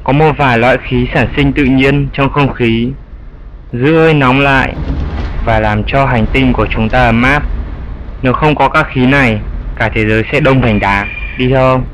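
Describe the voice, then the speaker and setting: A man's voice: mid-range voice, moderate pace, fairly bright tone, slight background noise, lightly acted, poor audio. A man voicing a wise, elderly grandfather bat who patiently explains things in an educational cartoon dub. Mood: patient, explaining. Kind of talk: dubbed educational cartoon dialogue.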